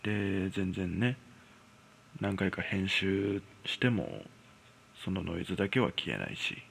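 A low-voiced man tells a ghost story as if chatting with friends. His delivery swings expressively up and down, with a creaky edge, and he hesitates conversationally as he feels his way through the tale. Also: expressive, unhurried, fairly bright tone, slight background noise. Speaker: storyteller host. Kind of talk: casual spoken ghost story telling.

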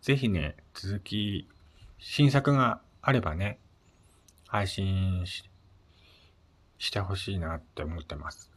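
A man hosting a casual solo radio chat, speaking politely and a little hesitantly, with soft fillers between his phrases. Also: sits low, slow pace, pauses often, husky. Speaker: solo podcast host.

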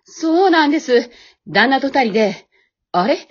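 An amateur actress playing a modest, earnest housewife YouTuber in a radio drama, speaking politely and hesitantly. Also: female voice, high voice, expressive, unhurried, dull audio. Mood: modest, pleased.

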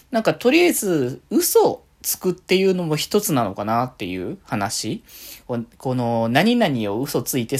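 Female talk host, very deep register, animated melody, giggly, informal and rambling delivery. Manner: often giggles while talking. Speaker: solo talk show host. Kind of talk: casual rambling solo chat to listeners.

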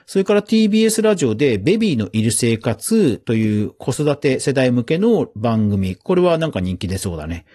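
Male podcast host, very lively intonation, husky voice, informal news commentary, chatty asides. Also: mid-range voice, brisk, few pauses. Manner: chatty, informal asides with self-agreeing interjections. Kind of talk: casual news round-up commentary.

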